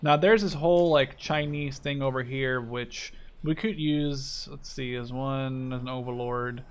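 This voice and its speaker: A man's voice: medium pitch, fairly even intonation, unhurried, smooth. A man in his twenties from the Netherlands giving informal, sweary gameplay commentary, thinking aloud as he plays. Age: 20s. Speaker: gaming video creator.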